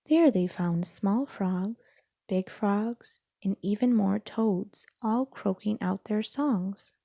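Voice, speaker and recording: Female storybook narrator: gentle, unhurried, some creak in the voice, close and dry. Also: mid-range voice, no room sound. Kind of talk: scripted children's story read-aloud.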